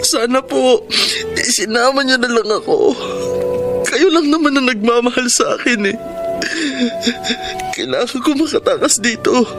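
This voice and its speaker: A frightened, heartbroken young son in a radio drama. His very high voice pleads and stammers tearfully. Loud dramatic music plays behind him. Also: measured pace, long pauses. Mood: anguished, heartbroken. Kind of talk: Filipino radio drama acting.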